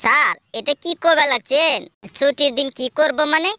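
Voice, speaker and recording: A cheeky cartoon schoolboy, voiced by a woman, answers back in quirky mixed slang. The voice is high and very bright. He talks with a mischievous edge. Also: normal speed, dry recording. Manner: cheeky, mixing dialect words.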